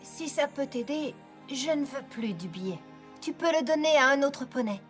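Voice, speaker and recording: A woman voicing a kind, sincere female pony friend in a French cartoon dub, gentle in manner. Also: high-pitched, lively intonation, normal speed. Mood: apologetic. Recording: clear music behind the dialogue.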